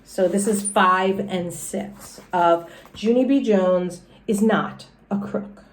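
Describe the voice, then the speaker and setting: A woman's voice: mid-range voice, slow pace. A woman speaking as a teacher doing a storybook read-aloud, with asides and questions for the children. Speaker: teacher reading aloud to students.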